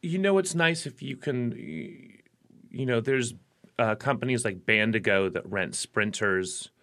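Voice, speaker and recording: A man's voice: medium pitch, unhurried, fairly bright tone. A man, a musician guest in a radio studio, talking in a rambling casual way with lots of fillers and restarts. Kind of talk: casual interview chat.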